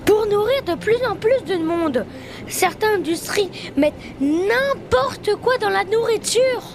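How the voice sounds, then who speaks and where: Serious scientist explaining results, played by a kid, super high voice, noisy background. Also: female voice, expressive, measured pace, exaggerated voice. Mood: serious, explanatory. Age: child. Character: grave, famous male scientist explaining lab findings.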